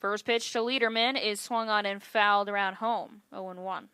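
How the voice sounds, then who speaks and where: A woman calling baseball for television as play-by-play announcer, in a measured, descriptive way. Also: mid-range voice, steady tone, pushed and bright, clean tone, no room sound. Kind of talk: live TV sports commentary.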